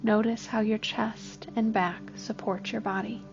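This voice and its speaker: Female meditation guide, gentle and very measured, with noticeable vocal fry; slightly dull recording over music. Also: a little high, fairly even intonation. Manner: gentle, unhurried instruction. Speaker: therapist guiding a meditation. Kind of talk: scripted guided body scan meditation. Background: soft music.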